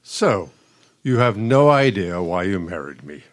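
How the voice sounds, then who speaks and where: An actor playing a smug, exasperated but caring elderly husband, a retired dean, in a radio play, speaking in a dry, testy way. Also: male voice, deep voice, sing-song, measured pace.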